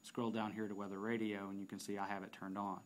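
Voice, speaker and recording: A man narrating an unscripted software demonstration, explaining step by step with halting phrasing and repeated words. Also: on the low side, flat delivery. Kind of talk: unscripted app tutorial narration.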